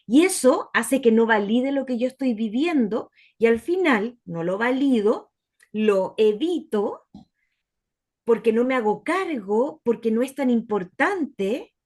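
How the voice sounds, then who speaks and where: A woman's voice: on the high side, animated, measured pace, darkish tone. A woman speaking as a guest in a casual conversation, reflecting aloud and sometimes stopping to search for words.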